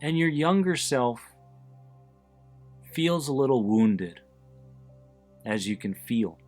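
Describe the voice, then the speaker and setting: Man, guided visualization, very slow pace, soothing and deliberate, medium pitch, background music. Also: lively intonation, darkish tone. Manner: deliberate, soothing, with pauses between short phrases. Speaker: host of an anxiety support podcast, guiding listeners. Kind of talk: guided visualization, meditation narration.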